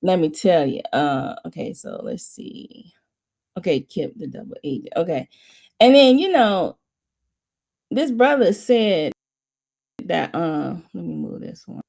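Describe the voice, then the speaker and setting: This is a woman hosting a casual watch-party chat, her voice sitting on the low side. She rambles and restarts her sentences, mostly in a flat monotone that jumps high now and then, with laughs between thoughts. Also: a little slow, vocal fry, pauses often. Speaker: host of a watch party stream.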